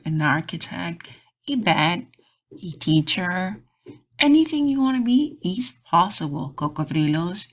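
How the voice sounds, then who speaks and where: Expressive, slow-paced woman reading to children. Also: fairly low pitch, breathy edge. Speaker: children's read-aloud channel host and teacher. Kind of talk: animated storybook read-aloud for kids.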